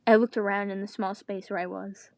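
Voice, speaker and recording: A woman's voice: medium pitch, little pitch movement, a little fast, no room sound, studio quality. A woman reading a story aloud dramatically, with joking asides in her own voice. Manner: breaks character to groan and joke. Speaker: reader of fan fiction.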